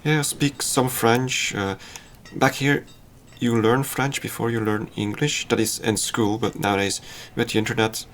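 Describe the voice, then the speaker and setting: A man whose first language is Dutch, casually talking through his game on a livestream in a halting, thinking-aloud way. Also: medium pitch, steady tone, a bit bright, a little hiss or hum. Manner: halting, self-deprecating, thinks aloud with fillers. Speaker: streamer.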